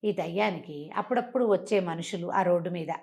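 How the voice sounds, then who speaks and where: A woman's voice: lowish voice, brisk, a little mellow. A woman telling a bedtime folktale as a storyteller, in a conversational way with phrases repeated for effect. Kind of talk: oral bedtime folktale narration.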